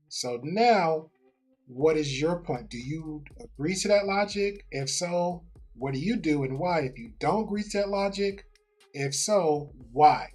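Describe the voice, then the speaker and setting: A man hosting a livestream podcast, commenting in an emphatic, coaching way and greeting viewers in the chat. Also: on the high side. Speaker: podcast host.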